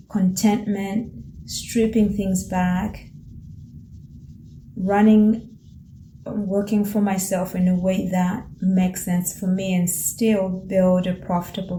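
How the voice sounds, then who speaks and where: Reflective woman with a mellow voice. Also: mid-range voice. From Tanzania. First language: Swahili. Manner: thoughtful, searching for words, gentle encouraging. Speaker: vlogger. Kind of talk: reflective personal talk to camera. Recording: roomy echo with faint ambient noise.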